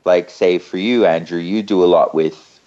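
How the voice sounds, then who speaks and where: A man hosting a talk show, speaking in a relaxed, rambling way and often repeating phrases. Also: deep voice, normal speed, muffled recording. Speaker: host.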